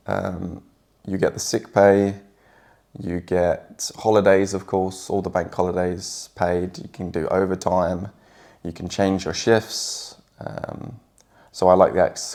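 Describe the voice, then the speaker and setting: An English man talking casually with his partner, relaxed and hesitant, with many fillers. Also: low voice, unhurried, vocal fry. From England. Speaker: guest partner. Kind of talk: casual chat.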